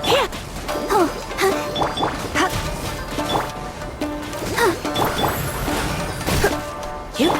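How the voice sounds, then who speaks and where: Female fighter's high battle grunts. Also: lively intonation, extremely slow, pauses often, faint music behind, full character voice. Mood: fighting. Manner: short battle grunts and shouts.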